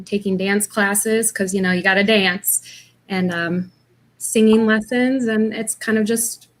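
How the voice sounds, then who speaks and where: A woman's voice: medium pitch, a bit bright. A woman from Missouri with a Missouri accent, answering interview questions over a video call, hesitant and full of ums. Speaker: interview guest, actress.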